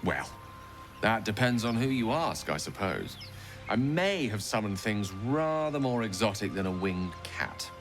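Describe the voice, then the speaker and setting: An actor voicing a well-spoken middle-aged wizard in a video game, wistful and wry as a rambling storyteller. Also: male voice, fairly low pitch, sing-song, unhurried, soft background music. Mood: fond, wry reminiscing. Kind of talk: video game dialogue acting.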